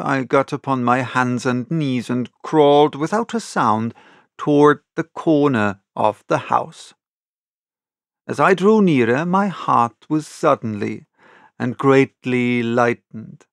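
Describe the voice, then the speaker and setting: A man narrates a classic audiobook at a slow, deliberate pace. His mid-pitched voice has some creak in it, and he tells the story in a measured, dramatic way. The sound is close and dry. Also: lively intonation, no room sound. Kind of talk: scripted literary narration in the first person.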